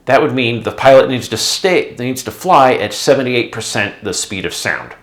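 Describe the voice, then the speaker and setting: A man's voice: mid-range voice, animated, normal speed. A man narrating a scripted explainer in a didactic, conversational way. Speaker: presenter, former air traffic controller.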